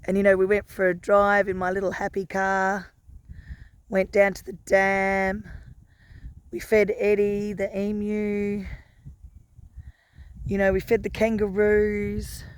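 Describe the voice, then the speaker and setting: A hoarse Australian woman with a croaky voice, musing gently in a casual vlog and often adding "you know". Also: mid-range voice. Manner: gentle, unhurried, warm-hearted musing with many "you know" fillers. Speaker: lifestyle vlogger. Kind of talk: casual reflective chat to camera.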